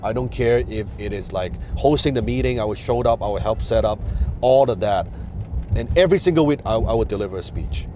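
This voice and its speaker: A man's voice: lowish voice, fairly quick, dark tone, slight background noise. A man from Hong Kong whose first language is Chinese, hosting a casual advice talk and telling his story with many fillers and restarts. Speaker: host. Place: in a car.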